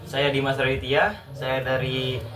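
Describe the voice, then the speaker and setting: A man's voice: medium pitch, monotone, a little slow, recorded from a distance. A teenage boy from West Sumatra leading a casual chat and prompting his friends. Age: teens. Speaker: podcast moderator. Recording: echoey room, off-mic, crowd behind.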